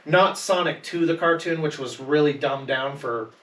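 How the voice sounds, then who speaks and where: A man's voice: on the high side, normal speed, fairly bright tone. A man on a podcast panel talking casually about films, enthusiastic and full of opinions. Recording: roomy echo, distant mic, faint noise.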